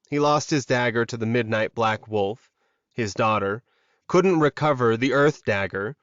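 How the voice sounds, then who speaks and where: A man's voice: medium pitch, dry recording, highs cut off. A man narrating a scripted, dramatic fantasy romance audiobook.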